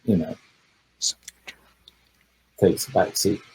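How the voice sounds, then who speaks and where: A man's voice: deep voice, fairly even intonation, slow, gravelly, warm tone, breathy edge, poor audio. A man speaking as a historian guest in a conversational book talk, thoughtful and digressive, with frequent hesitations.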